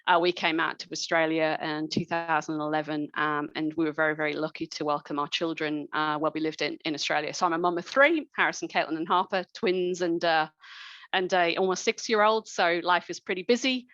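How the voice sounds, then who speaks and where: Woman, 40s, mentoring-event speaker; reflective, hesitant delivery, low pitch, muffled dry recording. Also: steady tone, brisk, a bit bright, no echo. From New Zealand. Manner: reflective, many ums and restarts. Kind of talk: personal career talk. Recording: dull lo-fi sound.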